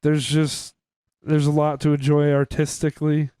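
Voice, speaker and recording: A man's voice: on the high side, completely flat delivery, normal speed, dark tone, smooth, no echo, studio quality. A man chatting casually as a podcast co-host, steering the discussion decisively. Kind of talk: casual chat.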